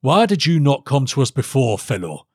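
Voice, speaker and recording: A male narrator puts on a gruff storybook king, imperious and quick to anger. He speaks in abrupt commands, with a husky edge and a close, dry sound. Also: mid-range voice, sing-song, no room sound. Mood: commanding. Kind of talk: audiobook character voice.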